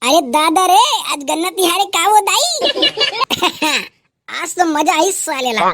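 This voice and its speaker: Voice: very high voice, very animated, slow, bright, forceful tone, a little hiss or hum, exaggerated voice. A performer voicing a cheeky, greedy village boy in a comedy cartoon, with a whiny manner and Chhattisgarhi accent. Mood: cheeky, eager. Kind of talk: comedy cartoon voice acting in rural dialect.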